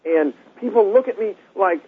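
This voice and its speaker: A young man from California calling in to a radio show, telling stories loudly and earnestly. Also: high-pitched, animated, normal speed, slightly rough. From Bakersfield, California. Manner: loud, earnest storyteller. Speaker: caller. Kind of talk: phone-in testimony. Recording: tinny phone line.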